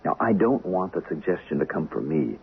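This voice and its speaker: An actor playing a two-faced, smooth-talking scheming husband in a 1970s radio drama, persuasive and theatrical, on a muffled old recording. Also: male voice, sits low, animated, a little fast, a little hiss or hum.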